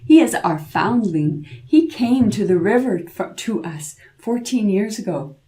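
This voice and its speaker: A woman's voice: mid-range voice, expressive, a little slow, dark tone, natural voice. A woman voicing a humble, honest miller parent in a folk tale, earnestly explaining. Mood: earnest denial.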